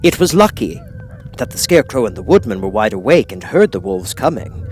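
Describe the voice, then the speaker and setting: A man reading a children's audiobook as narrator, with expressive, dramatic storytelling. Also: lowish voice, brisk, slight background noise.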